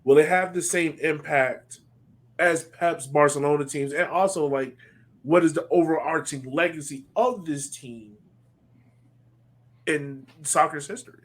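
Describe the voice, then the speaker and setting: A man's voice: a little high, measured pace. A man hosting a casual sports podcast, speaking in an informal, conversational way. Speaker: podcast host.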